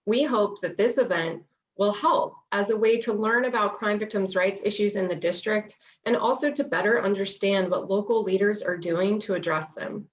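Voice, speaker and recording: A woman's voice: mid-range voice, normal speed, a little hiss or hum. A woman hosting an event, reading a scripted, formal welcome and introduction. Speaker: event host introducing speakers. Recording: muffled, distant mic on virtual event.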